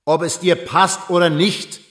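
An actor playing a frank, sober friend in a German radio drama, probing and reasoning insistently. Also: male voice, sits high, fairly even intonation, measured pace, fairly bright tone. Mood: firm, earnest. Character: frank, sober male friend confronting a despairing man. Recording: reverberant, roomy studio sound.

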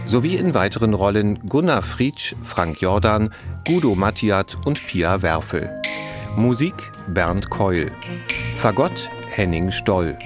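A man's voice: on the low side, lively intonation, takes it slowly. A man reading scripted credits as a broadcast announcer. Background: music.

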